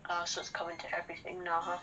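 A woman's voice: lowish voice, monotone, rapid-fire. A woman co-hosting a gaming show, chatting casually in a rambling, slangy way and often repeating herself. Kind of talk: casual chat over gameplay. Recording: game music and TV sound behind. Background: video game music from a television.

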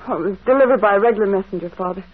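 An actress playing a bitter, defiant daughter in a radio mystery. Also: female voice, mid-range voice, very fast, natural voice. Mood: flustered. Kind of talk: vintage radio mystery acting. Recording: tinny, narrow-band old radio recording.

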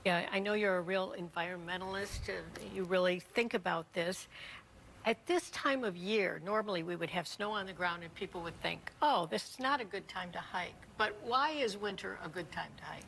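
A woman's voice: fairly low pitch, moderate pace, gravelly, fairly bright tone, a little hiss or hum, low-quality recording. A woman news anchor interviewing guests in a TV studio, asking friendly leading questions into a lapel mic.

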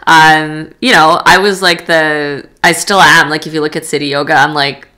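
A woman's voice: sits low, fairly even intonation, normal speed, bright, forceful tone. A young woman from Poland, a podcast guest talking candidly and chattily, with lots of fillers and restarts. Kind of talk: casual interview chat.

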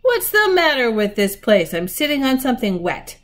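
A woman's voice: fairly high pitch, animated, normal speed, voice pushed up. A woman voicing an eager, decisive older brother, a schoolboy leader in a storybook, with exclamatory old-fashioned phrasing. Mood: excited.

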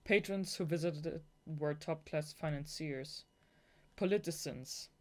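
Young narrator with a mid-pitched voice. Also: takes it slowly. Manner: giggly, excitable asides between read passages. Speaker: audiobook reader. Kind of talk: scripted novel narration with blooper asides.

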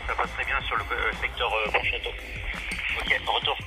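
A man's voice: medium pitch, flat delivery, moderate pace. A man casually reporting on reception over a radio link. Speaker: amateur radio operator. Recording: radio transmission under loud music.

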